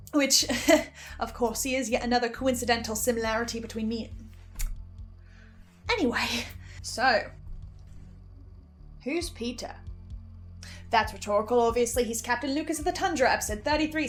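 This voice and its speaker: A woman giving a casual, animated recap and reaction, often interrupting herself with asides. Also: high-pitched. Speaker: host of a podcast reaction show.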